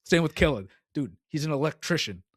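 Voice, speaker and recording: A man's voice: a little high, animated, fairly quick, no echo, clean studio sound. A young man co-hosting a casual gaming podcast, rambling and filling his talk with "like" and "dude.". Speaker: podcast co-host.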